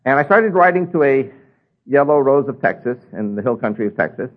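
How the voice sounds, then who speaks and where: A man's voice: mid-range voice, lively intonation, a little fast, phone-quality sound. An older man with an American accent, a native Spanish speaker, preaching a sermon and telling his own story, with frequent ums and uhs. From United States.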